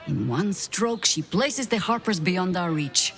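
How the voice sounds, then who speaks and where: An actress playing a stern, weary veteran woman commander in a video game, speaking in a measured, grave and authoritative way. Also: on the low side, very animated, fairly bright tone, full character voice. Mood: wary. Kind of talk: dramatic video game acting.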